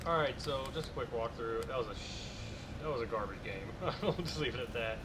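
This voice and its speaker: A man's voice: mid-range voice, expressive, brisk. A man in his late twenties casually explaining a tank game while playing, as stream co-host. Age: 20s. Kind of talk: casual gameplay commentary. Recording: loud background noise from game audio.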